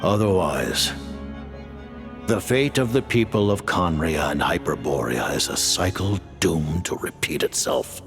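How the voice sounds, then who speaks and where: A solemn ancient commander in a game cutscene, his voice very deep and gravelly. He rides up and down a lot as he lays things out in slow, weighty pronouncements, with soft music under him. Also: animated, heavily acted. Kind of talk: video game cutscene voice acting.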